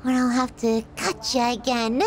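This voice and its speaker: A woman's voice: fairly high pitch, level tone, a little slow. A woman playing a possessive, sadistic yandere girlfriend in an ASMR roleplay, doting and cutesy with theatrical affection. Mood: giddy, menacing. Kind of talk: ASMR roleplay, scripted monologue to the listener.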